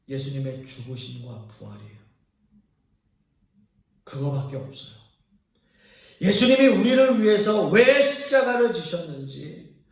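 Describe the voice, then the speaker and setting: Animated high-voiced preacher in an echoey church. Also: male voice. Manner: earnest preaching with pauses, swinging between raised and hushed lines. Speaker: pastor. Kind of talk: sermon. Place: church sanctuary. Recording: echoey church hall from a distance.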